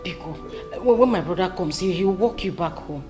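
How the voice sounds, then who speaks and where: Actress as an anxious Nigerian young woman, echoey room, clear music behind. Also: fairly low pitch, lively intonation, a little fast, close to an everyday voice. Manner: worried, pleading, stammering. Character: anxious young woman waiting for her brother.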